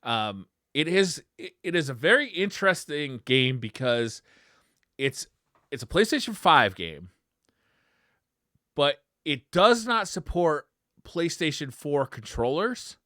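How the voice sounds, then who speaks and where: A man's voice: a little high, very animated, slow, sharp tone, no echo, studio quality. A man co-hosting a casual retro gaming podcast, talking in a conversational way. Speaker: podcast co-host.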